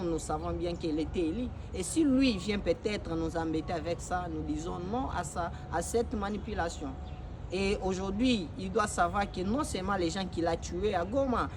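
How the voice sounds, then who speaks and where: Impassioned young man, street noise behind. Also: sits high. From Democratic Republic of the Congo. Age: young adult. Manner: emphatic, repetitive, frequent hesitations. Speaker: activist giving a video message. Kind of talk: impassioned political address.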